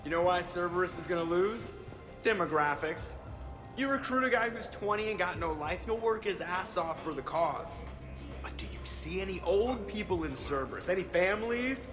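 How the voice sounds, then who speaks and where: A man's voice: high-pitched, lively intonation, moderate pace. A man playing a sarcastic, wisecracking spaceship pilot in a video game, delivering snarky quips with mock seriousness. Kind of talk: scripted game dialogue. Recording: music under the voice.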